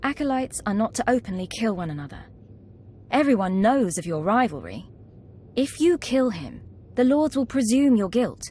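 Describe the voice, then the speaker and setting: A woman's voice: fairly high pitch, very animated, moderate pace, dark tone, slight background noise. A woman playing a scheming young acolyte ringleader in a video game, confident, wry and coaxing. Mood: persuasive. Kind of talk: video game cutscene acting.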